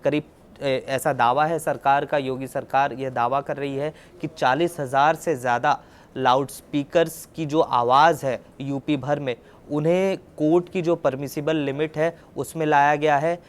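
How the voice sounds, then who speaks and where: A man's voice: medium pitch, fairly even intonation, moderate pace. A man presenting a news report, explaining as he goes and often restating his points.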